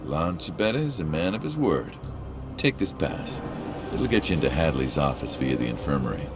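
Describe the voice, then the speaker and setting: Shady game informant: extremely deep, gravelly, genial and self-important, music underneath. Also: male voice, big pitch swings, moderate pace, full character voice. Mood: sly, genial. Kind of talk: dramatic video game acting. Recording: music behind the voice.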